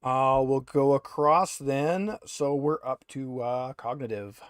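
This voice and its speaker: Guy running a tabletop rpg stream, chatty, very dry audio, medium pitch. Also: slow, dry recording. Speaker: game master and host. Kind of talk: casual introductions for a streamed tabletop game.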